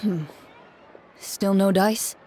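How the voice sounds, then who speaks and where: Composed female game duelist: formal, matter-of-fact, dryly courteous, medium pitch. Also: fairly even intonation, extremely slow, dark tone, soft background music. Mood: pensive. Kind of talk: video game voice acting.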